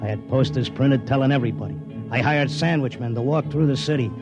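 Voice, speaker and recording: A male actor plays a stubborn, blunt American horse tipster from a fifties radio drama. He talks in streetwise slang at an ordinary middle pitch. Prominent music sits behind the old recording. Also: quick pace, natural voice. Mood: weary, frustrated. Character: brash, stubborn racetrack tipster devoted to his wife. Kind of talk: 1950s radio drama acting with slangy narration.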